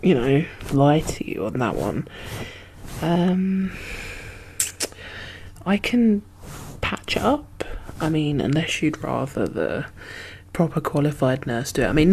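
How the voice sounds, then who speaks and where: A woman playing a gentle, chatty medic in a zombie apocalypse ASMR roleplay, speaking softly and hesitantly with a reassuring manner. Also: sits low, big pitch swings, slow, slight background noise, full character voice. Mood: gentle reassurance. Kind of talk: soft-spoken, whispered ASMR roleplay.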